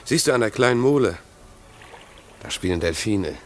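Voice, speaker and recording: Deep-voiced, earnest young lover. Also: male voice, expressive, normal speed. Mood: affectionate. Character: earnest, easygoing young swimming teacher in love. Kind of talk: 1950s German radio play acting. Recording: 1950s radio recording, faint music behind.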